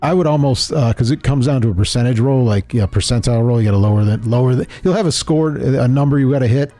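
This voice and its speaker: Middle-aged male podcast host, warm low-key tone, talks fast and loose, many restarts. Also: soft background music. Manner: rambling, loose, lots of fillers and restarts. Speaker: host presenting a tabletop game. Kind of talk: casual podcast chat. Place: shop or studio room.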